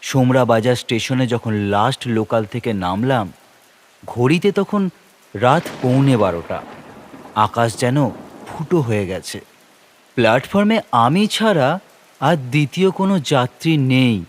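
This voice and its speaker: A man narrating a horror story in a suspenseful, expressive, dramatic manner. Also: mid-range voice, unhurried. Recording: rain and noise behind narration. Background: rain.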